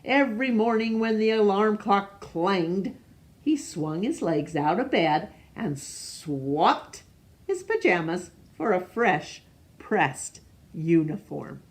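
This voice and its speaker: Woman, children's storytime reader, slow delivery, mid-range pitch, expressive, pausing to engage young listeners. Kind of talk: read-aloud picture book storytelling for young children.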